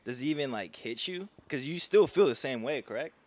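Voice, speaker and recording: A man's voice: medium pitch, expressive, brisk, darkish tone, no echo. A man in his twenties hosting a podcast, talking in a casual, slangy, playfully teasing way. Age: 20s. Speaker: podcast host. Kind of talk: casual interview chat.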